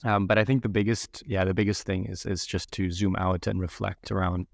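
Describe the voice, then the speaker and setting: Low, flat-voiced man answering thoughtfully. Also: creaky, no echo, clean studio sound. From Yemen. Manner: measured, thoughtful, many fillers. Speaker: podcast guest. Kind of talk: reflective interview answers.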